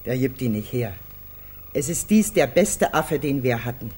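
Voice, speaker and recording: An actor playing a chatty, folksy pet shop keeper in a 1950s German radio comedy, with colloquial, repetitive patter. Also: male voice, mid-range voice, sing-song, normal speed, darkish tone, husky. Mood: insistent.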